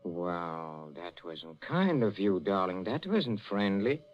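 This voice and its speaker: An actor playing a jealous middle-aged husband in an old-time radio drama, speaking with mock tenderness and a halting, toying menace. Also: male voice, low-pitched, big pitch swings, exaggerated voice. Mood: sly probing. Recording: muffled old radio recording.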